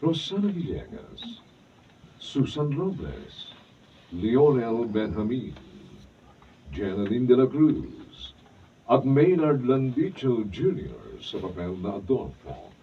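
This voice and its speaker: A man's voice: medium pitch, sing-song. A man giving the solemn closing moral and credits of a radio drama. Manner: slow, solemn, measured. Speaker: narrator and announcer. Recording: muffled, noisy, from far off.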